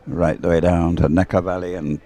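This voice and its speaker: A man's voice: deep voice, level tone, fairly quick, warm tone, no room sound. A man giving live race commentary in a rambling, hesitant way, as if thinking aloud.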